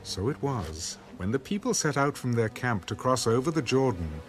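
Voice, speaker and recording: A male narrator reads scripture as a dramatic story. His mid-pitched voice moves with very lively intonation over a clear music bed. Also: moderate pace. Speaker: Bible narrator.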